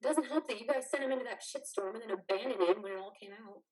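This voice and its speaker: A woman playing the exhausted, fiercely protective partner of a troubled veteran in a play reading, blunt and biting, with a husky voice. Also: fairly high pitch, fast, darkish tone. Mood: bitter, accusing. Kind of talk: play reading, modern drama.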